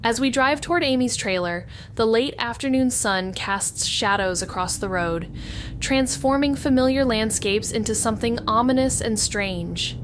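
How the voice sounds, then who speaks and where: Woman acting a brooding noir detective over low rumble. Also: lightly acted. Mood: resolute, brooding. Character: haunted, determined woman amateur detective. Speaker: narrator and lead character. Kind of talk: noir scripted first-person narration.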